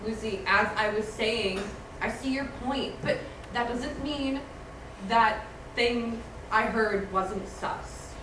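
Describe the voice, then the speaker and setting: Woman acting suspicious student girl, jumpy, slightly high voice, table read, echoey, noisy background. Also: takes it slowly. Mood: insistent. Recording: distant, echoey room with background noise.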